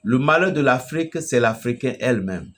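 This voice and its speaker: A man from Benin with a Beninese French accent, preaching an emphatic, storytelling message to a fellow pastor. His voice swells loudly at the climaxes. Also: medium pitch, animated, moderate pace. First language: a local Beninese language.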